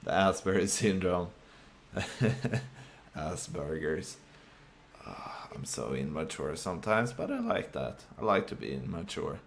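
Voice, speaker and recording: A man speaking English as a second language in a casual, unscripted monologue, halting with fillers and restarts, with a deep voice. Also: sing-song, vocal fry, a little hiss or hum. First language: not English. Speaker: vlogger.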